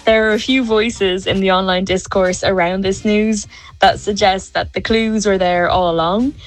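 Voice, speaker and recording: A woman speaks as a fashion historian in a recorded voice note. Her voice sits at a middle pitch and she explains things in a steady, informative way. Soft music plays faintly underneath. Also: moderate pace. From Ireland. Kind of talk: recorded voice message.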